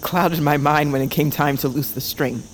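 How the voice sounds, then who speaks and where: A man playing a desperate, guilt-ridden bird-folk assassin on the run in a fantasy audio drama, speaking in a halting, intense way. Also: on the high side, steady tone, soft background music, lightly acted. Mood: haunted, halting.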